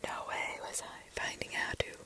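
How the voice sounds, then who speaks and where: A woman reads scary stories in a hushed, breathy ASMR whisper with deliberate pauses, close to the microphone. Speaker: ASMR host. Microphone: close ASMR microphone, ear to ear.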